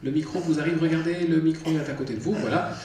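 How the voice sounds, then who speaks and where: A man's voice: on the high side, quick pace, a little mellow, far from the mic, slight background noise. A man moderating a film Q&A, who politely guides the audience and passes the microphone. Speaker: moderator of the screening debate. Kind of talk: formal event moderation. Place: cinema auditorium. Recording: echoey cinema hall, heard from afar.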